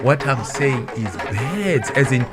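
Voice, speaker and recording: A man's voice: medium pitch, animated, takes it slowly, loud music behind the voice. A man with a Zimbabwean accent presenting a recorded segment, explaining a dance with enthusiasm.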